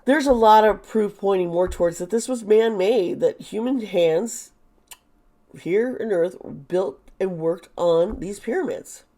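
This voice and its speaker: A hoarse woman who is losing her voice, talking through a tarot topic in a rambling, chatty way with sarcastic asides. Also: medium pitch, measured pace, dark tone. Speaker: tarot reader. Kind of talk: casual monologue.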